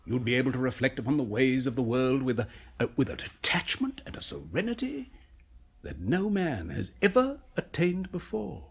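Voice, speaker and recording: An actor playing an eager, persuasive scientist obsessed with a macabre experiment, clinically precise and coaxing, in a 1970s radio horror play. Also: male voice, medium pitch, big pitch swings, moderate pace, gravelly, slightly rough, heavily acted. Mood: coaxing, enraptured.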